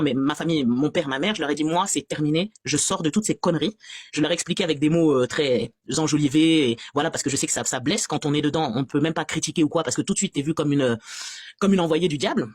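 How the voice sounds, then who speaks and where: A woman from France giving an animated, exasperated and sarcastic personal testimony as a guest on a live stream. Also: low-pitched, fast talker, husky, no room sound, clean studio sound.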